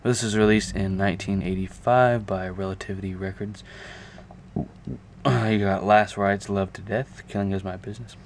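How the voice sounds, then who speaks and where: A man with a low, monotone voice talks casually through a show-and-tell. He is halting and unscripted, thinking aloud as he goes. There is noticeable noise behind him on the amateur camera recording. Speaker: video creator showing a music collection. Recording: clear background noise, amateur camera audio.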